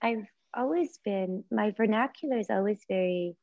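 A woman in her forties, Japanese her first language, talks slowly and reflectively about her art over a video call. Her voice is mellow and dark, close and dry with no room sound. The audio is muffled and lo-fi. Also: medium pitch, dry recording. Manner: soft, reflective, halting with many fillers and restarts. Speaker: visual artist guest. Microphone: laptop or phone camera on video call.

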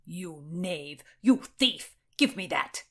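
A woman's voice: on the low side, normal speed, a bit bright. A woman voicing a haughty, hot-tempered young Tudor nobleman in an audiobook, indignant and spluttering. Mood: furious. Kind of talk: audiobook character dialogue.

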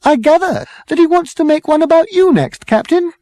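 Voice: lively intonation, moderate pace, mellow, no echo. A narrator voicing a smug young female marketing executive, strident and condescending in a comic storybook reading. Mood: smug, insistent. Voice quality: strident, shrill. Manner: condescending, insistent.

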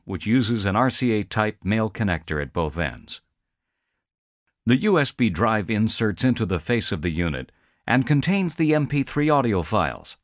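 A man reading a scripted instructional narration in a clear, structured, promotional explainer manner. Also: lowish voice, dry recording, studio quality. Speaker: tutorial narrator.

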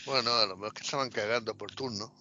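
Deadpan man cracking crude jokes. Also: on the low side, fast talker, a little hiss or hum. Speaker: podcast co-host. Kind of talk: sarcastic film review chat. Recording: dull lo-fi podcast audio.

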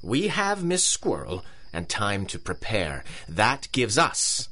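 An actor playing a pompous, ruthless big-game hunter with an English accent in a pulp radio drama, grandiose and scornful. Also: male voice, lowish voice, huge pitch swings, slow pace, sharp tone, exaggerated voice. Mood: cold, scheming.

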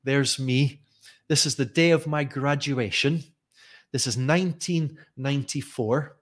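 Scottish man, soft east coast accent, forties, teacher trainer, chatty storyteller, desk mic, home room. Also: medium pitch, unhurried. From Scotland. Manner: chatty, animated storyteller with frequent restarts. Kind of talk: live-streamed teaching talk. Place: bright home room with tall windows. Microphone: desk mic with headphones.

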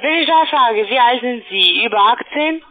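A woman with a fairly high voice makes a polite cold call. She comes through a thin, narrow phone line. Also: expressive, moderate pace. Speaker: cold caller.

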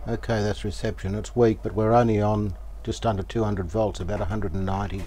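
A man giving casual, unhurried commentary as he works, thinking aloud. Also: on the low side, steady tone. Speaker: host, radio restorer. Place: small cluttered indoor workshop. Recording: clear background noise, home workshop.

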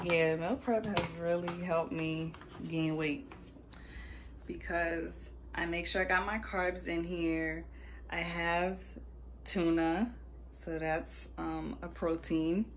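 Young American woman vlogger, slightly low voice, relaxed slow delivery, informal and slangy. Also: gravelly, a little hiss or hum. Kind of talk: casual chat to camera.

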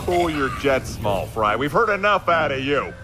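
Man as a cocky cartoon adventurer, lively delivery. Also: medium pitch, normal speed, lightly acted. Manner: breezy, swaggering. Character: cocky, laid-back heroic male adventurer. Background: music.

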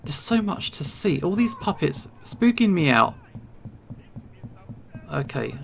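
A video game streamer talks through play in a let's play style. The voice sits at a medium pitch with plenty of gravelly fry. The delivery is jittery and anxious, like someone muttering to themselves as they go. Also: big pitch swings, slow pace, fairly bright tone, slight background noise. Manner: nervous, jittery self-talk.

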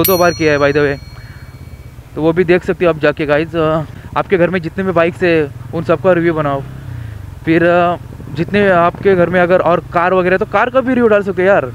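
High-voiced chatty young man on a motorbike. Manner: chatty, rambling, mixes English in. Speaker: motovlogger. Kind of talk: casual chat while riding. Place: on a motorbike on city roads. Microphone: helmet action camera. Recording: dull lo-fi camera audio with faint traffic noise.

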